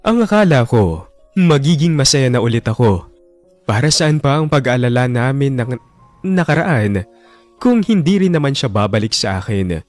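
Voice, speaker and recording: Actor as jilted man narrating, resentful, expressive pitch, music under. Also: moderate pace, natural voice. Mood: bitter. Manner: brooding, resentful. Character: bitter jilted young man. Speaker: first-person narrator. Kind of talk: radio drama narration.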